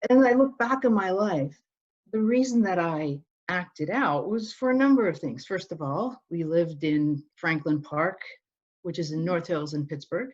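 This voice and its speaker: A woman's voice: lowish voice, expressive, measured pace. A middle-aged woman from Northern Ireland, telling her story earnestly as an interview guest. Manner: earnest, storytelling, many restarts. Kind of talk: conversational interview. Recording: dull, lo-fi.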